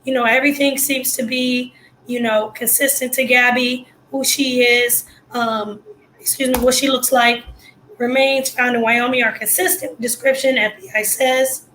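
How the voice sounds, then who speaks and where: Hoarse lady livestreamer reacting live, high voice, blunt slangy talk, some noise behind her. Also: flat delivery, moderate pace, a bit bright, low-quality recording. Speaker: livestream commentator. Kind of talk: casual live reaction commentary.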